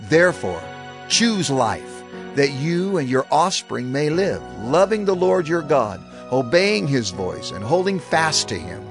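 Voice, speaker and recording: Moses, an animated elderly prophet. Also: male voice, mid-range voice. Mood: earnest, urgent. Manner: earnest, emphatic, oratorical. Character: Moses, elderly prophet leader exhorting his people. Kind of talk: dramatized scripture audio drama.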